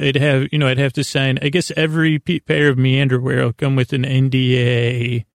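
A man hosting a sleep podcast, talking in a meandering, soft-spoken way full of fillers and restarts. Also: medium pitch, gravelly, dry recording, clean studio sound. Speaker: podcast host. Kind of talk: rambling sleep podcast intro.

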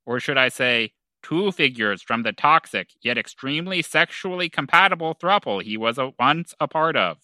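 A man's voice: medium pitch, expressive, normal speed, few pauses, pushed and bright, dry recording. A man performing and narrating a scripted comedic audio drama in a theatrical way.

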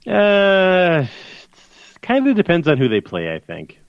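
A man's voice: high-pitched, takes it slowly, creaky, dry recording. A man co-hosting a sports podcast, ranting in casual chat. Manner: animated, ranting. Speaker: podcast co-host. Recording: dull, dry lo-fi audio.

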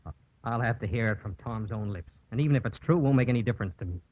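An actor playing a principled, steady small-town man with an earnest, firm manner in a vintage radio drama. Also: lowish voice, rapid-fire, no echo, lightly acted. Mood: firm, indignant. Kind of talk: 1940s-50s radio acting. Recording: muffled old radio broadcast.

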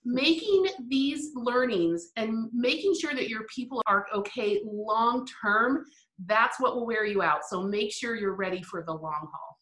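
A middle-aged woman talks in an animated, reflective way, breaking into laughter now and then. Her voice is bright and forceful. The room around her rings with echo. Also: a little high, a little slow. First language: English. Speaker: guest. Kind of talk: interview conversation.

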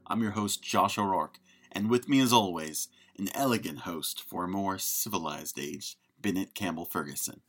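A man's voice: low voice, normal speed, a bit bright. A man hosting a podcast, chatting casually about film in a jokey, self-deprecating way. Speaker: podcast host.

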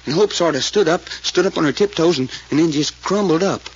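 Muffled old-radio sidekick, high and excitable. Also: male voice, a little fast, slightly rough. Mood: flustered, defensive. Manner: drawling, folksy, earnest. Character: folksy, excitable country-talking male detective sidekick. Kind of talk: 1940s radio drama acting.

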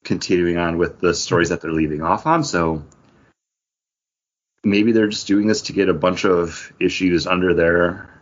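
Male podcast host, fairly low voice, informal conversational delivery, slightly muffled lo-fi sound. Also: normal speed. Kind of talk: casual chat about comic books. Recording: dull, lo-fi podcast audio.

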